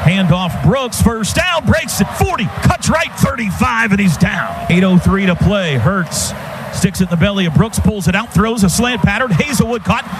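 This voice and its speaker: An American man calling football play-by-play live on radio in clipped running phrases that build to shouts on big plays. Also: high-pitched, lively intonation, normal speed. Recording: noisy stadium radio broadcast.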